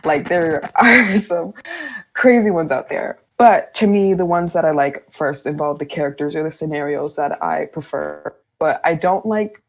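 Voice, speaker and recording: A woman talking casually and candidly as a podcast guest, informal and quick to laugh as she speaks. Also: deep voice, creaky. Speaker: fan fiction writer, podcast guest.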